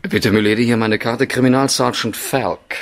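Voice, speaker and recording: Man playing a calm, gravelly young police sergeant. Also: medium pitch, moderate pace, natural voice. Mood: cool, insistent. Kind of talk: 1970s German radio crime play acting.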